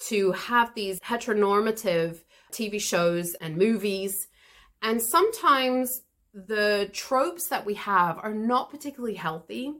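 A woman with a voice of ordinary middle pitch hosts a solo English-learning podcast. She talks straight to the listener, full of lively, expressive advice. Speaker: English teacher and podcast host.

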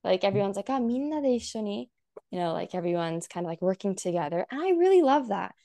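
Young woman guest, casual rambling talker, some vocal fry. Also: mid-range voice, very animated, fast, mellow. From United States. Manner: rambling, many "like" fillers, frequent laughs. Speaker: guest content creator. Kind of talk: casual chat.

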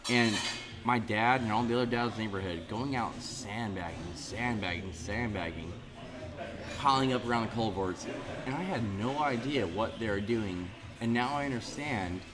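A man's voice: mid-range voice, measured pace, over music. A man in his thirties from Central California, a podcast guest talking casually and rambling from one point to the next. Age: 30s. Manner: rambling, discursive. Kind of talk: casual chat. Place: bar.

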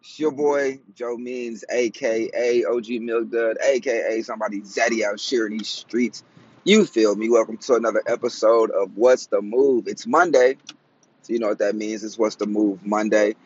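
Raspy, cracky-voiced man in his thirties. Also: steady tone, normal speed, highs cut off. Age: 30s. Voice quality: high-pitched, raspy, cracky voice. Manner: slangy, rambling, laughs at own jokes. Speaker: podcast host. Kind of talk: casual solo podcast monologue.

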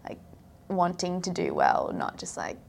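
A woman's voice: lowish voice, wildly swooping pitch, a little slow, vocal fry, darkish tone. A young woman from South Africa, chatting casually as a podcast guest and filling her talk with "like". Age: young adult. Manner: chatty, filler-heavy, frequent "like". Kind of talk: casual interview chat.

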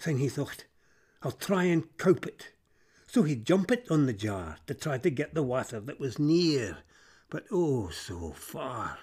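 A Scottish man narrating fables in Scots verse, theatrical and expressive, moving between hushed drops and emphatic swells. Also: medium pitch, unhurried, slightly rough.

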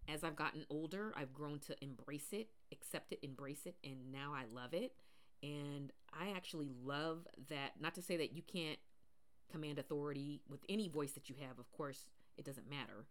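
Woman podcast host, naturally deep low voice, rambling casual monologue with fillers. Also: moderate pace. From Pacific Northwest, US. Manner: rambling, many fillers and restarts.

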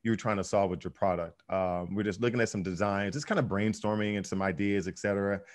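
A man's voice: on the low side, steady tone, quick pace. A man hosting a business podcast in easygoing conversation, with lots of ums and restarts. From United States. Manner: easygoing, many fillers and restarts. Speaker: podcast host. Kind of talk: casual interview chat.

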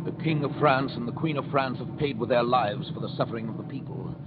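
An actor as a grave storyteller, narrating a historical radio drama in a portentous, rhythmic, deliberate way. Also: male voice, mid-range voice, background noise, lightly acted. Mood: somber. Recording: dull vintage radio, loud music behind.

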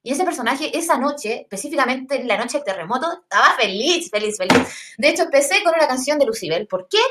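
A Chilean woman in her thirties tells a comic personal anecdote in a casual, rambling way. Her speech is full of slang and swearing, and her voice sits a little high for a woman. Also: lively intonation, fairly quick, very noisy. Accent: Chilean Spanish. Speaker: storyteller.

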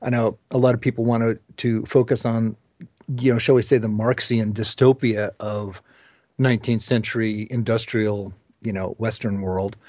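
A man co-hosting an interview, talking casually in a discursive, halting way. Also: lowish voice, little pitch movement, darkish tone, no echo. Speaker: co-host.

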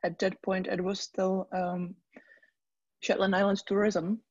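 Woman in her 30s, even and flat delivery, dull dry line. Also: mid-range voice, unhurried, breathy edge, no room sound. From Czech Republic. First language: Czech. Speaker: guest, tour business owner. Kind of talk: conversational interview.

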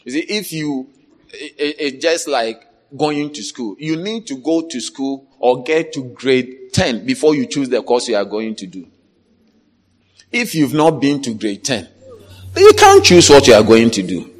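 A man preaching an animated sermon, quizzing the congregation and breaking into shouts and laughter. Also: mid-range voice, unhurried. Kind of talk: lively church sermon. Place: church. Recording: reverberant church hall with congregation.

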